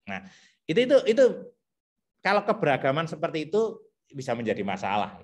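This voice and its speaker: A man with a thick Javanese accent explaining teacher competency criteria to colleagues, repeating himself and filling pauses as he thinks aloud. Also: a little high, sing-song. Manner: repetitive, thinks aloud with many fillers.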